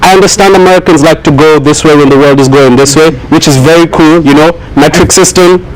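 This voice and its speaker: A young man from Kenya who grew up in Kenya, talking to a high school class in a joking way, laughing often. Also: brisk, poor audio. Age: young adult. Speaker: student speaker at a school. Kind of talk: classroom talk.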